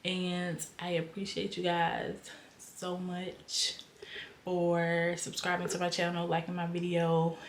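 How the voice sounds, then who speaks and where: A woman's voice: on the low side, monotone, takes it slowly. Young woman vlogger, stuffy nasal voice, rambling casual delivery, echoey room, faint hiss. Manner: rambling, repeats herself, many ums. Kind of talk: casual vlog chat. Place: in her home. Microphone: camera on a stand.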